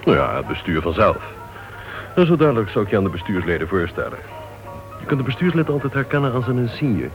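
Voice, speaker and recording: An actor playing a chatty, genial club member in a Dutch radio play. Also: male voice, fairly low pitch, very animated, quick pace, music in the background. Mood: genial, teasing. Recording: dull old radio recording.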